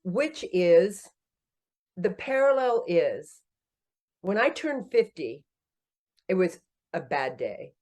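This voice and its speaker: A woman in her sixties, an author and company founder, telling her story in a casual podcast interview, animated and self-deprecating, laughing often and jumping up in pitch when she jokes. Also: takes it slowly, pauses often. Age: 60s.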